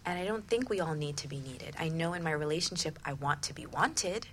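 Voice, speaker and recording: Woman, low-pitched voice, radio co-host, conversational delivery, faint background noise. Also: lively intonation, brisk, fairly bright tone.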